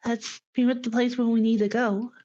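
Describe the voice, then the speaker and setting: A woman's voice: fairly high pitch, flat delivery, brisk, dark tone. A woman roleplaying in character in a tabletop game, hesitant and apologetic, with slightly muffled sound.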